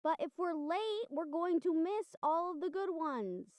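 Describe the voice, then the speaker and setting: A young woman playing a bubbly, encouraging college student in a casual, chatty, expressive roleplay audio drama. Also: very high-pitched, unhurried, dry recording, studio quality. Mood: reassuring, mildly exasperated. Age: young adult. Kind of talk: roleplay audio drama acting, speaking to listener.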